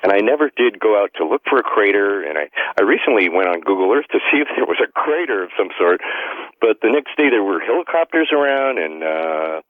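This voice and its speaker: A man's voice: fairly low pitch, big pitch swings, brisk, no echo. A man from the United States calling a radio show over the phone, chatting casually and telling anecdotes. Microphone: telephone. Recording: narrow, tinny phone line.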